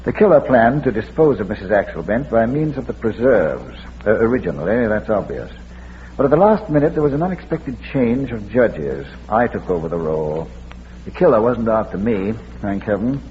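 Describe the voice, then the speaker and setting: A deliberate, scholarly gentleman sleuth in an old radio mystery. His wry, pedantic lines swing widely in pitch, with small hesitations. The recording is dull and hissy. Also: very animated. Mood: explaining. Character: erudite, deliberate gentleman amateur detective. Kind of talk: vintage radio mystery acting.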